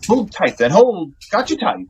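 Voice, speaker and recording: An actor playing an idealistic, excitable young poet in a radio drama, earnest and theatrical with halting phrasing. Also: male voice, sits high, vocal fry, slightly rough, highs cut off, exaggerated voice. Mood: strained, anguished.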